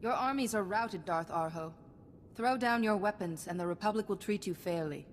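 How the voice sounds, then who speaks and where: An actress playing a principled female Jedi who speaks in a firm, measured way, in a video game. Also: mid-range voice, big-room sound, slight background noise, close to an everyday voice. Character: stern female Jedi ally, principled and dry.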